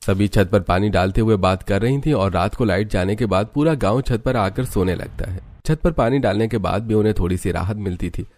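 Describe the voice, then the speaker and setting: A man's voice: fairly low pitch, a little fast, few pauses, darkish tone. A man narrating a scripted cartoon village story as its storyteller.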